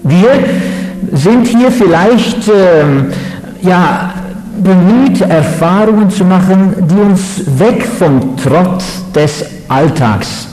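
A man from the Netherlands, giving a measured, halting lecture on religion with fillers. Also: sits high, expressive, darkish tone, faint music behind. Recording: echoey hall.

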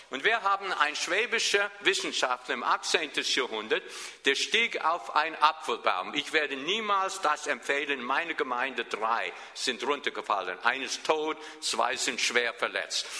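A Swabian-accented man preaches with a very bright, cutting tone and a voice sitting a little high for a man. He presses his points hard and keeps checking in with his congregation. His words ring out with the long reverb of a large hall. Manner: emphatic, often asks the listeners questions. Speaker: pastor. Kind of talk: sermon.

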